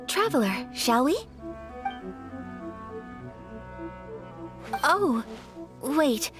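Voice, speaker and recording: A woman's voice: high-pitched, sing-song, slow pace, full character voice. A woman playing a polite, earnest and slightly shy young noblewoman in an anime game, speaking in a courteous, slightly formal way. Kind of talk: anime video game voice acting. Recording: music behind the voice.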